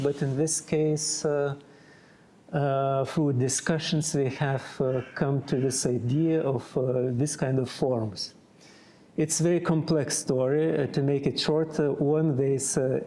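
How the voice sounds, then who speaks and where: A man, a glass artist, explaining his artwork in a halting way with many filler sounds. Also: mid-range voice, mellow.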